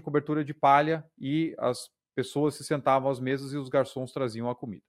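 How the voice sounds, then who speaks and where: A man hosting a podcast, telling a history story in a casual, conversational way with frequent tag questions. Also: medium pitch. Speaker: podcast host.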